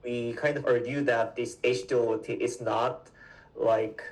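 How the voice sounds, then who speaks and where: A man in his twenties from South Korea, a guest answering interview questions in a halting way, with many fillers and restarts. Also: mid-range voice, fairly even intonation, distant mic, rough recording. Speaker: guest, PhD student.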